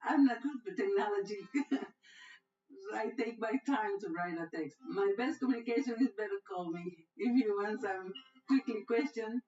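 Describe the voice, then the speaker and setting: A woman's voice: medium pitch, moderate pace, darkish tone. Middle-aged mother. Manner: simple broken English, laughs easily. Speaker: interviewee, mother. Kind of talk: documentary interview. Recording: roomy, distant, dull audio with music.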